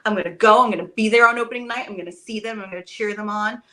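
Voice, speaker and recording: A woman, a Broadway actress, chatting as a podcast guest, telling stories with lively enthusiasm and the odd casual swear word. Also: mid-range voice, very fast. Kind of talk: casual podcast interview chat.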